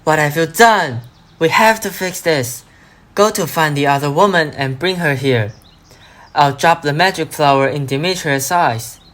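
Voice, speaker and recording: A woman's voice: low-pitched, measured pace. A woman playing a commanding male fairy king in an amateur radio drama, giving orders with authority. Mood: displeased, ordering.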